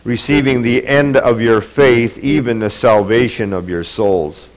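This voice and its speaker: A man's voice: mid-range voice, steady tone, measured pace. A man preaching a sermon, congested from a cold, earnest and building in intensity. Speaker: pastor. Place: church.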